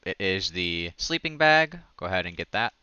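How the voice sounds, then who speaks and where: A man's voice: low-pitched, very animated, moderate pace, pushed and bright, smooth, no echo, highs cut off. A man giving a casual, enthusiastic walkthrough of a game update, like a gaming video creator.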